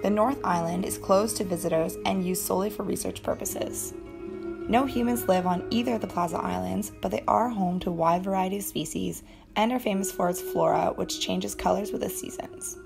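A woman's voice: medium pitch, fairly quick, creaky. A woman reading scripted narration as a voice-over. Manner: even, informative read. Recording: voice-over with background music.

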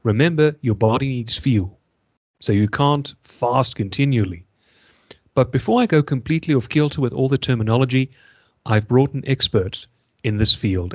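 A man's voice: medium pitch, darkish tone, no echo, lo-fi sound. A man with a South African accent hosting a podcast interview in florid, theatrical phrasing. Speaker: podcast host. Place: indoors, remote video call. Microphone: large desk microphone, close.

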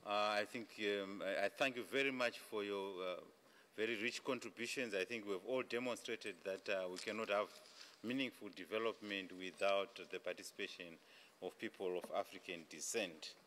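A middle-aged man chairing a panel in a conference room, speaking in halting phrases into a desk microphone. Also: lowish voice, fairly bright tone, a little hiss or hum. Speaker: panel moderator. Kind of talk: chairing a formal panel discussion. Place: large indoor conference room.

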